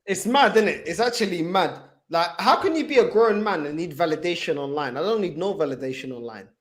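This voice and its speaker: A man in his thirties from England with a notably high voice, who speaks earnestly and from the heart. His tone stays conversational and open as he hosts the chat. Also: animated, fairly quick, a bit bright. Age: 30s. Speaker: fan channel host. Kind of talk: casual chat.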